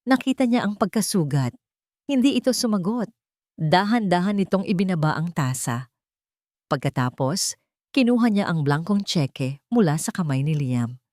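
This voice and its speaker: A woman's voice: fairly low pitch, expressive, normal speed, darkish tone, dry recording, clean studio sound. A woman narrating a scripted romance story in a theatrical, emphatic manner.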